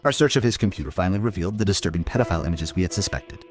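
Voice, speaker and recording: A man playing a grim, hard-bitten police investigator, narrating a crime audio drama in a measured, brooding way with a husky voice. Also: sits low, animated, faint music behind. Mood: clinical, resolute.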